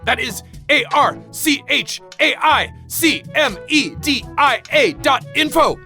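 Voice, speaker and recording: A woman playing a manic, campy mad doctor who hosts a pulp radio hour, bombastic and raspy in a comic ad. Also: high-pitched, lively intonation, slow pace, few pauses, bright, forceful tone, full character voice. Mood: sly, manic. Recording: faint music bed.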